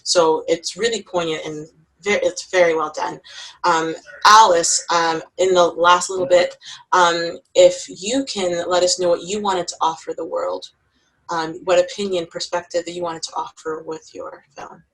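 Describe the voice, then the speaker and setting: Low-voiced woman moderating a Q&A. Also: steady tone, moderate pace, poor audio. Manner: warm, appreciative, chatty with fillers. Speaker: festival programmer and moderator. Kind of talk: moderating a recorded Q&A.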